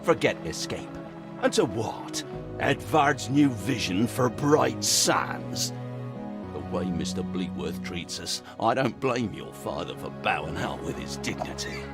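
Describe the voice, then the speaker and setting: A man's voice: fairly low pitch, animated, a little slow, vocal fry, over loud music. Downtrodden prisoner, male, resigned, cynical, varied intonation, video game drama.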